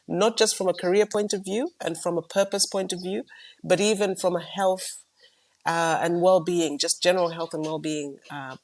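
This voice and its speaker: A middle-aged woman speaks in a low, grounded voice. She co-hosts a podcast in relaxed conversation and tells her story in a measured, reflective way. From Malawi. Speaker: podcast co-host. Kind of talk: casual chat.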